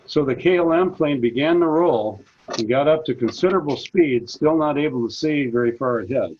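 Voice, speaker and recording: A man's voice: medium pitch, expressive, darkish tone, muffled recording. A man presenting a prepared talk at a senior center, reading steadily from his notes. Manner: measured, reads from notes.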